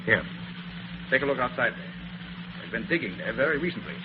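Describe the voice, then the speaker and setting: An actor playing a confident, sharp-witted master detective in an old radio drama, explaining things in a brisk, assured way. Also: male voice, medium pitch, lively intonation, close to an everyday voice. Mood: brisk, intent. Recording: muffled, hissy old radio recording.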